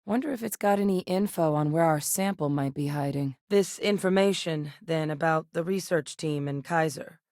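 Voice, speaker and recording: An actress playing an earnest, composed female military scientist and commander in a video game, with a measured, serious delivery. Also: low voice, a little mellow, dry recording, clean studio sound. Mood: grave, resolute.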